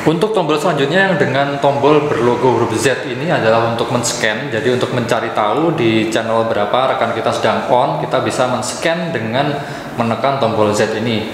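A young man presents a gadget review in a casual, explanatory way. His voice sits at an average pitch. It is recorded in a very echoey room with long reverb and some faint noise. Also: brisk. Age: young adult. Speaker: product reviewer and channel host.

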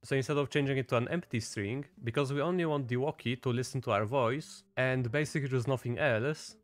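A man giving a casual, step-by-step screen-recorded tutorial as the host. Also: medium pitch. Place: voice-over at a computer.

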